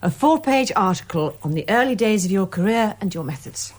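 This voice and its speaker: A woman playing a detective's fond, gently teasing wife in a domestic radio drama. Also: medium pitch, animated, a little fast, close to an everyday voice. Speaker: actor in a detective radio play.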